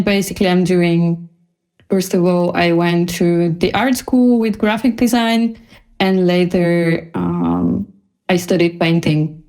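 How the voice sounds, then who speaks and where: A woman's voice: mid-range voice, darkish tone. A woman from Bulgaria, a designer speaking casually as a guest in an interview.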